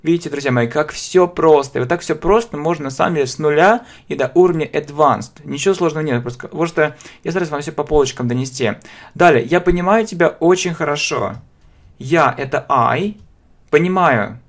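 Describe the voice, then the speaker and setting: A man giving a beginner English grammar lesson in a video, often pausing on fillers and saying English examples slowly and with stress. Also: a little high. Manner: slow, emphatic English examples with many "a" fillers. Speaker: English teacher.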